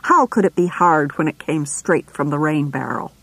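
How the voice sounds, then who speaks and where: A sharp, indignant young wife, blunt and quick to stick up for her household, as a woman narrator puts her on. The voice is low, husky and dry. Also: dry recording, heavily acted. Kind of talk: audiobook reading.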